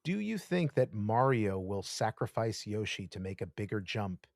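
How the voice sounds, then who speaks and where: A man's voice: on the low side, big pitch swings, normal speed, creaky, a little mellow, dry recording, studio quality. A man in his thirties co-hosting a podcast, talking in a laid-back way with many fillers. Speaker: podcast co-host. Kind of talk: casual chat.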